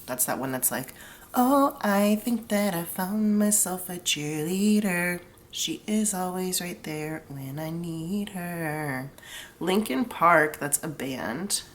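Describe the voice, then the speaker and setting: A woman's voice: on the low side, expressive, slow pace. A young woman with a stuffed-up nose from a cold, chatting casually through a tarot reading and explaining each pull with lots of "like". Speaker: tarot reader.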